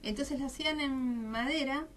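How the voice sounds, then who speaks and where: A woman's voice: sits high, normal speed, a little hiss or hum. A woman with an Argentine Rioplatense accent, explaining history and craft conversationally as an enthusiastic storyteller in a radio interview. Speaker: radio show guest. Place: radio studio.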